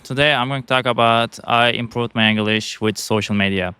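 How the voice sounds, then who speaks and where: A man's voice: mid-range voice, fairly even intonation, brisk, bright, forceful tone, smooth, dry recording, clean studio sound. Man with a Turkish accent, rambling. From Turkey. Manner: rambling, many fillers and restarts. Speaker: vlogger. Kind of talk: casual talk to camera.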